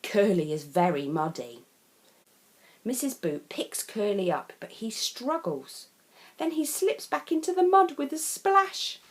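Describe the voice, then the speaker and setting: Children's storyteller: English woman, expressive, highly varied intonation, unhurried slow delivery. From England. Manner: simple, clearly phrased, expressive.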